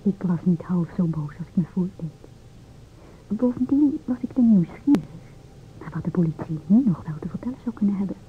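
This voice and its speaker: An actress playing a combative, know-it-all young stewardess in an old radio play, with an emphatic, theatrical delivery. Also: female voice, fairly low pitch, animated, a little fast, close to an everyday voice. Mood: confiding narration, then secretive whisper. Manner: emphatic, self-assured, theatrical. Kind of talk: old-time Dutch radio play acting. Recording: old radio recording, narrow tinny band, hiss.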